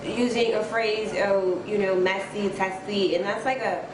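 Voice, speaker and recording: A woman's voice: mid-range voice, steady tone, unhurried. A woman from Trinidad with a Trinidadian accent, telling a story as a guest in a casual interview, laughing often and swearing. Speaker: guest musician. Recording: echoey room with background noise.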